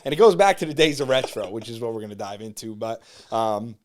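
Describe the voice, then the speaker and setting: A man in his thirties hosts a relaxed podcast chat from a small studio, his voice sitting at an everyday middle pitch. He talks with hyped enthusiasm and keeps piling on praise and superlatives. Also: fast. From New Jersey shore. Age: 30s. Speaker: podcast host.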